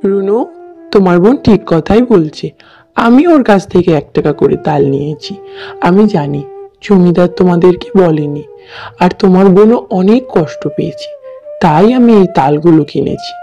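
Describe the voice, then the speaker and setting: A woman voicing a kindly god disguised as a village stranger in a cartoon, gentle and reassuring. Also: on the low side, big pitch swings, normal speed. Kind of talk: cartoon voice acting. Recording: dull lo-fi track with music.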